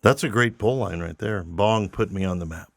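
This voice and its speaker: A man's voice: on the low side, normal speed, vocal fry, dry recording. A man hosting a podcast, joking and teasing his guest with playful asides. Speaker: podcast host. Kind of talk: casual interview chat.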